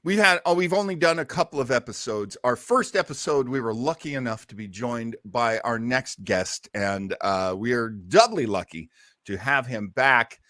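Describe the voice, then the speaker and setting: A man's voice: medium pitch, expressive, moderate pace, fairly bright tone, no echo, clean studio sound. A man hosting a casual live online show in an effusive, folksy way with plenty of exclamations. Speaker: host of an online listening show.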